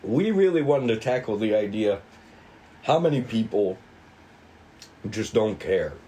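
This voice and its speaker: A man getting over a cold, co-hosting and explaining in a casual, rambling, halting way. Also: lowish voice, big pitch swings, warm tone, a little hiss or hum, poor audio. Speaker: co-writer and co-host introducing a script table read.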